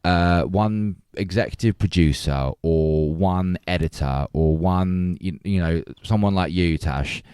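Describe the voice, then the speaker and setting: A man's voice: sits low, unhurried, hardly any pauses, a little mellow, clean tone, no echo, studio quality. A man hosting a casual film discussion, thoughtful and hesitant, repeating words as he builds his points. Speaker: podcast host.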